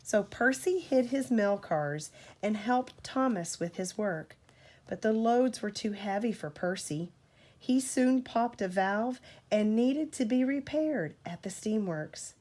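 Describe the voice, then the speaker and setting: A woman reading a storybook aloud to a child as a parent, in an expressive, deliberate storytelling manner. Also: medium pitch.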